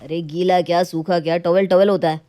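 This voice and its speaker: A woman's voice: lowish voice, fairly even intonation, brisk, dark tone, pitched below the performer's own voice, natural voice. A woman voicing a selfish, irritable young husband with a brusque, dismissive way of talking in a story.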